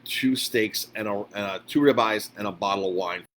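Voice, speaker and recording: A man giving a casual, unscripted live update as a restaurant owner, speaking informally and haltingly and often repeating himself. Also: on the low side, a bit bright. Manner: halting, repetitive, informal address to followers. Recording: clearly noisy background ambience.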